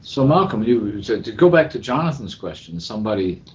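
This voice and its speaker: A man's voice: big pitch swings, a little fast, a little mellow. A man co-hosting a podcast, chatting casually about books. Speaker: podcast co-host. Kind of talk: casual literary discussion. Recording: muffled internet call, clear background rumble.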